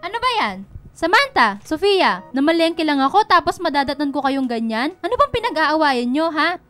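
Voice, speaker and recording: A woman's voice: sits high, very animated, moderate pace, fairly bright tone. An actress playing a stern but caring working mother in a radio drama, with a Filipino accent and a scolding, questioning way of talking. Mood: exasperated. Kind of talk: Tagalog radio drama acting.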